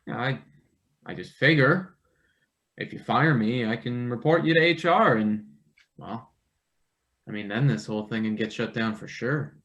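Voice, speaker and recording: A man's voice: medium pitch, unhurried, long pauses, fairly bright tone. A man playing a nervous but cheeky new intern in a live play reading, with a hesitant, awkward delivery. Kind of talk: live table read of a satirical play.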